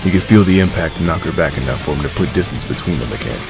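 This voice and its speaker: A man's voice: a bass voice, animated, fast, vocal fry. A man reading scripted story narration as the narrator of an audio drama. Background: music.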